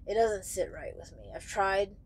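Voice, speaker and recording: A young woman talking casually and candidly to camera in a halting way, searching for words as she goes. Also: medium pitch, little pitch movement, a little hiss or hum. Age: young adult. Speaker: truck driver vlogger. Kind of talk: casual vlog talk to camera. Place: small padded truck sleeper bunk, indoors.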